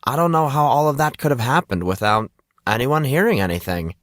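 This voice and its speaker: Audiobook role: man playing cocky, inquisitive teen boy, slangy, raised pitch, dry studio sound. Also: lively intonation, fairly quick, fairly bright tone, no room sound, natural voice. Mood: puzzled. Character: brash, slangy teenage schoolboy, inquisitive and confident. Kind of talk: audiobook character dialogue.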